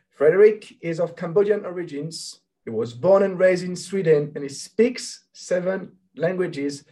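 A man's voice: a little high, warm tone, low-quality recording. A man hosting a podcast, reading a scripted intro and then interviewing a guest. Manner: announcer-like delivery with ad reads. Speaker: podcast host.

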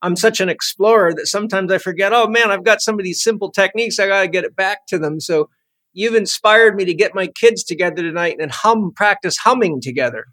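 Male podcast host, middle-aged, high voice, eager rambling talker, studio mic. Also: a little fast, bright, forceful tone. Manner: enthusiastic, rambling storyteller. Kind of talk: casual interview chat. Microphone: podcast microphone.

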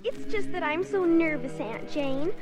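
A woman's voice: very high voice, expressive, measured pace. A woman playing an eager, nervous young girl star in an old radio drama, gushing with youthful exclamations. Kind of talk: 1950s radio drama acting. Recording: old radio recording with music behind.

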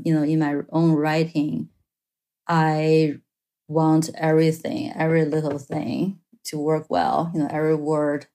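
A woman with a Chinese accent, whose first language is Chinese, answering interview questions as an author in a thoughtful, halting way with many fillers and restarts. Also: low voice, warm tone. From China. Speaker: author guest.

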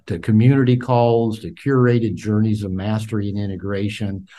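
A man's voice: lowish voice, steady tone, moderate pace, warm tone. A man in his sixties, a podcast guest and author, talking casually and often repeating words as he starts sentences. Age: 60s. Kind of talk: casual interview talk. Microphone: call.

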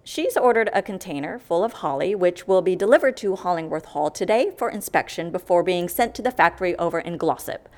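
An indignant woman in an audio comedy, her pitch swinging freely. She speaks in emphatic, ornate sentences full of theatrical scorn. She sounds exasperated and very sure of herself. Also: animated, fairly quick, few pauses, a little mellow. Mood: brisk explaining. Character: exasperated, indignant woman at a death-faking agency, theatrically eloquent. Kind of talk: audio comedy fiction acting.

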